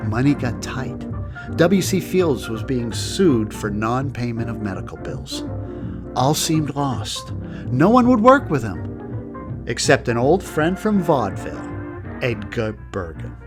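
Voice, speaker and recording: A man narrating a radio history documentary in a measured storytelling tone. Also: mid-range voice. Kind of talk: scripted narration.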